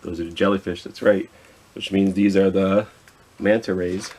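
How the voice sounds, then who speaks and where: A man's voice: sits low, flat delivery, normal speed, a little mellow, slightly rough. A man chatting casually to young children as a storytime host, informal and self-deprecating with rambling asides.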